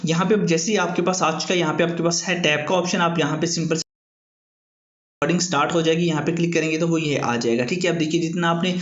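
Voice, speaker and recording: A man's voice: a little high, steady tone, a little fast, fairly bright tone. A man hosts an informal app tutorial, explaining in a repetitive, filler-heavy way. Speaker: YouTube tutorial host. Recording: echoey room, dull muffled sound.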